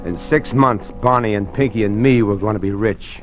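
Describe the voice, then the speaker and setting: An actor playing a rough, uneducated young male hustler who narrates his crime story in slangy tough-guy talk, in 1940s radio style. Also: lowish voice, moderate pace, close to an everyday voice. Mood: brooding. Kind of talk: 1940s radio acting and first-person narration. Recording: dull old radio recording with music.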